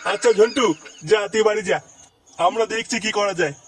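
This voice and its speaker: A man voicing a foul-mouthed, bossy village man in a comedy cartoon, gruff and scolding. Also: high-pitched, brisk, background noise, natural voice. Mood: businesslike. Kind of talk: exaggerated cartoon dubbing.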